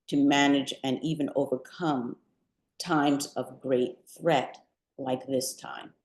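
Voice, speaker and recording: A woman, a guest poet, giving prepared remarks before a reading in a deliberate, articulate way. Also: very deep voice, darkish tone. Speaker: poet and visual artist, guest reader. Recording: roomy, echoing sound.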